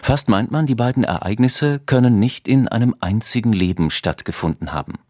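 An actor as a sober, pensive narrator, delivering the scripted introduction to a radio play. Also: male voice, medium pitch, normal speed, a little mellow, no echo, clean studio sound, close to an everyday voice. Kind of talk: scripted audio drama introduction.

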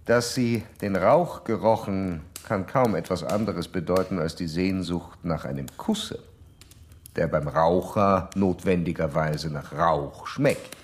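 Deep-voiced, pompous psychoanalyst. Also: male voice, takes it slowly. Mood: clinical, pedantic. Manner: formal, pedantic, insistent lecturing. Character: pompous, insistent male psychoanalyst lecturing his patient. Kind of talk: radio drama acting.

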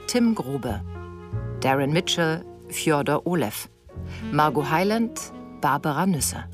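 Low, measured woman announcer over music. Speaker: announcer reading the credits. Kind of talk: formal scripted radio announcement.

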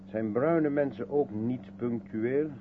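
A man's voice: mid-range voice, slow, natural voice. An actor playing a moody, sardonic male writer in a radio play, with a terse, dry, needling manner. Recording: muffled, phone-like 1970s radio recording, hum.

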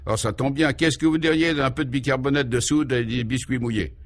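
A man voicing a pompous male scientist who explains his absurd invention in a declamatory, gravely technical way for a radio comedy. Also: mid-range voice, a little fast, lightly acted. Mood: cordial, proud.

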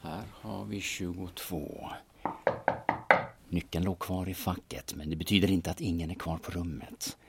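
A man's voice: sits low, steady tone, gravelly, background noise. A man playing a shifty, scheming auction agent in an audio drama, speaking in a hesitant, colloquial way. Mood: sneaking, scheming. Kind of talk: audio drama acting with muttered inner monologue.

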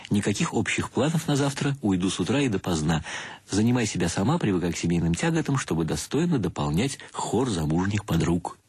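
A man's voice: low-pitched, very animated, a little fast, darkish tone, husky, no echo, exaggerated voice. A man acting as a wry, self-mocking intellectual bridegroom, narrating a radio play in an ironic, mock-solemn, ornate way. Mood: mock-stern. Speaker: actor narrating a radio drama. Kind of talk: first-person literary narration, radio play.

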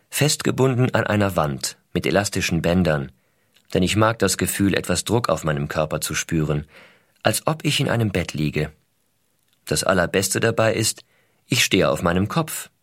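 Very deep-voiced astronaut father. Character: American astronaut father writing tenderly to his small son. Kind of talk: dramatized reading of letters.

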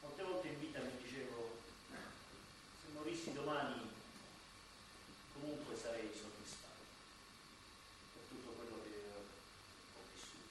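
A man's voice: medium pitch, measured pace, long pauses, lightly acted. An actor playing a weary, resigned man in a stage-like radio drama. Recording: very echoey, distant, noisy stage recording.

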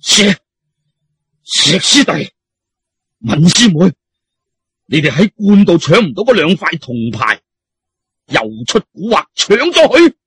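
Man doing a huffy, raspy Cantonese Taoist priest. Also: high voice, very animated, takes it slowly, long pauses, fairly bright tone, no room sound, heavily acted. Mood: furious, breathless. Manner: huffy, blustering, accusatory. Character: angry, breathless, accusing male Taoist priest.

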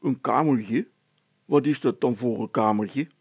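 A man's voice: mid-range voice, measured pace, darkish tone, slightly rough, dry recording. A man voicing a simple, anxious village policeman in a storybook, hesitant and prone to repeating himself. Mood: glum, puzzled. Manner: repetitive, hesitant.

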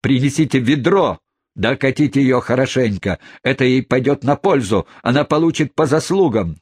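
A man reading aloud and voicing a furious, bullying gentleman who shouts and blusters. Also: lowish voice, takes it slowly, breathy edge, no echo, clean studio sound. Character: furious bullying middle-aged English gentleman.

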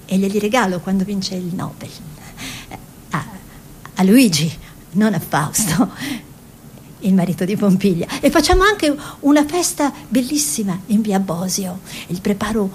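A woman's voice: expressive, unhurried, a little mellow, close to an everyday voice. An actress playing a spirited self-taught woman painter reminiscing, wry and conversational, in a theatrical radio style. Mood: pleased, playful. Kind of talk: theatrical monologue and dialogue for radio. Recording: radio play with faint noise and rumble.

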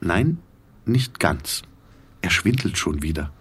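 A man narrating a literary audio drama in an introspective, dramatic way with deliberate phrasing. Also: sits low, big pitch swings, a bit bright, breathy edge.

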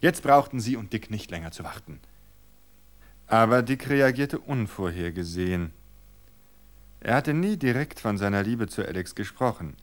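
Low-voiced male radio storyteller. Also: lively intonation, normal speed. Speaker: reader. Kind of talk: literary reading for radio.

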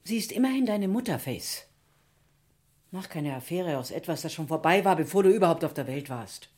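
An actress playing a practical, strict but caring middle-aged foster mother in a German radio drama, brisk and admonishing. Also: fairly low pitch, animated, darkish tone, close to an everyday voice. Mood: reproachful. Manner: brisk, matter-of-fact, admonishing.

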